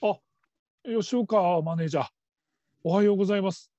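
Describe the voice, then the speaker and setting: A man's voice: high-pitched, moderate pace, warm tone, no echo, highs cut off, lightly acted. A man playing a timid, apologetic middle-aged call-centre trainee in a radio drama, speaking hesitantly and humbly. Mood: timid, flustered.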